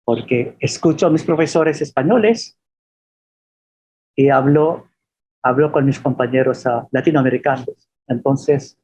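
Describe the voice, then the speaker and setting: A man from the Philippines, giving an informal lecture as a professor and telling anecdotes in a halting, self-deprecating way. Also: medium pitch, darkish tone, slight background noise, poor audio.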